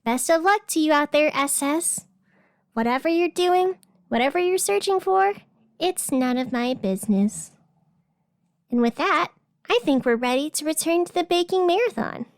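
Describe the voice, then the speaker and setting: A woman's voice: sits high, lively intonation, moderate pace, no echo, clean studio sound. A woman playing a chatty, wry young radio host in a fiction podcast, talking casually in asides. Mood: wry, upbeat. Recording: music behind the voice. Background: music.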